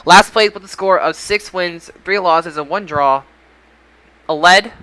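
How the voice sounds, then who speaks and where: Man, high pitch, bright tone, podcast host, announcer-like delivery, casual results show. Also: a little slow, clear, resonant tone, rough recording.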